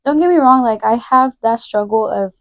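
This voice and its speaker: A woman in her twenties co-hosting a podcast, talking in a relaxed, chatty way. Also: fairly high pitch, normal speed, dry recording. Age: 20s. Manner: relaxed, chatty, often finishes her co-host's sentences. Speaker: podcast co-host. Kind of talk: casual chat.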